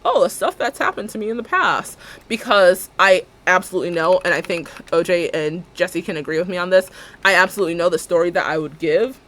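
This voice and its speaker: A young woman with a deep alto voice, chatting casually and rambling as she streams a building game, laughing often and talking to her viewers. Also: fairly bright tone.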